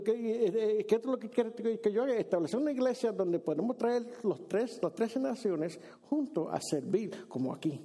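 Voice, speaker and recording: A man with a high, gravelly voice delivers a sermon in a church, talking loosely and restarting his phrases often. His tone is dark and mellow with a husky edge. He slips into English now and then. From Brooklyn, New York. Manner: conversational, many restarts and repeated words, mixes in English phrases. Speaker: pastor. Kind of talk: sermon with Bible reading. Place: church sanctuary.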